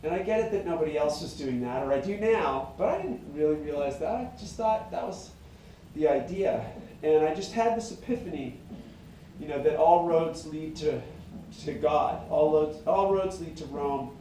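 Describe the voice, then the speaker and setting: A middle-aged singer-songwriter with a high tenor voice, talking in a meandering, reflective way full of fillers and restarts. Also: male voice, lively intonation, normal speed, warm tone, a little hiss or hum. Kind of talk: rambling casual monologue. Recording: very echoey room, mic at a distance.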